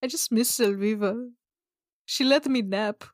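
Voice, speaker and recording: A woman's voice: fairly high pitch, a little slow, no room sound, studio quality. A woman improvising in character at a tabletop roleplaying game, casual, plaintive and mock-dramatic.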